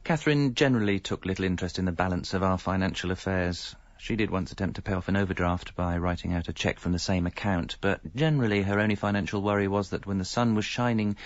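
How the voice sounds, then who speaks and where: A man's voice: low voice, no room sound. An actor playing a hapless, evasive middle-aged English husband and father, narrating a radio comedy in a wry, self-deprecating way. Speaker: narrator and lead character. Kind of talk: radio comedy monologue and dialogue. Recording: slightly dull audio, music behind.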